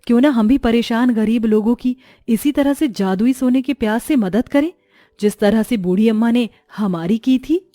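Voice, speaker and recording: A woman playing a kind village mother and wife in a cartoon story, speaking in a gentle, earnest way. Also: fairly high pitch, moderate pace, no echo, studio quality, natural voice.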